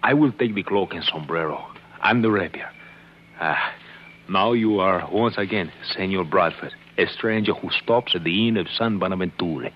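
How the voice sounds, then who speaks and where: An actor playing a loyal older sidekick, earnest and deferential, in 1950s radio adventure style. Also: male voice, low-pitched, normal speed, close to an everyday voice. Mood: devoted, reassuring. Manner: deferential, earnest, speaking in simple broken English. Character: loyal older Mexican sidekick, once his master's companion. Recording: muffled, noisy old radio recording.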